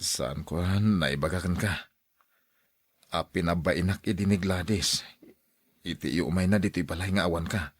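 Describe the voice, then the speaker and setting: Radio drama role: a hot-headed, remorseful brother, low-pitched and exclamatory, dry studio sound. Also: male voice, unhurried, long pauses, breathy edge, dry recording. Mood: ashamed, defensive. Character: emotional, remorseful brother who lashes out at women.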